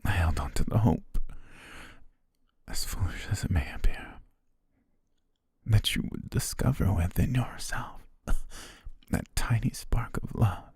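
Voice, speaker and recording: Man playing a very deep, husky, devoted butler. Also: expressive, slow, pauses often, no room sound, studio quality, heavily acted. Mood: wounded, sorrowful devotion. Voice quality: husky, breathy, near-whisper. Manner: formal, ornate, hushed diction. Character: devoted, possessive yandere male butler, formal and lovestruck. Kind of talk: ASMR romantic roleplay.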